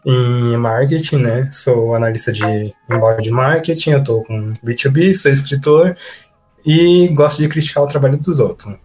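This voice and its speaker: A man in his twenties guests on a podcast with a sassy, teasing manner. His voice sits at an ordinary pitch for a man. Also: normal speed, darkish tone. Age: 20s. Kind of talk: casual podcast chat.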